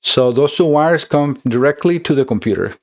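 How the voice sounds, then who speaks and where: A middle-aged man with a Costa Rican Spanish accent talks through an electronics repair step by step. His delivery is conversational and full of fillers and restarts, set at an average pitch for a man. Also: expressive, normal speed. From Costa Rica. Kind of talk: explaining a repair walkthrough.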